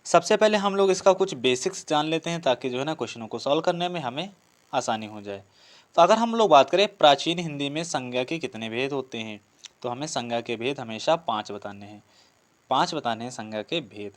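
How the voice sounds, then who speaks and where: A man's voice: mid-range voice, lively intonation, fairly quick. A man teaching a grammar lesson, explaining step by step with repetition, listed examples and rhetorical questions. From India. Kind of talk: online exam-prep grammar lesson.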